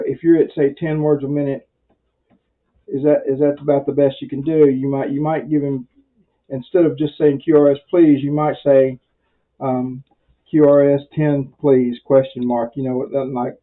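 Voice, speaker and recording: A man giving an informal beginner tutorial in a folksy, rambling way, halting often with pauses. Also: mid-range voice. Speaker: amateur radio instructor. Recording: very muffled, dull lo-fi audio.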